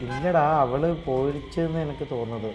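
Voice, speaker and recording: A man's voice: medium pitch, a little fast, muffled recording. A man streaming a role-play game, chatting casually with other players. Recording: noisy live game voice chat.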